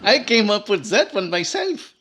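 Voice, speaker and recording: Jolly, boastful comic radio chatterbox; bright, sharp, very animated delivery. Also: male voice, high voice, moderate pace, exaggerated voice. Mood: proudly boastful. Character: excitable, chatty, jolly comic man passing out flyers. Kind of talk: old-time radio comedy acting.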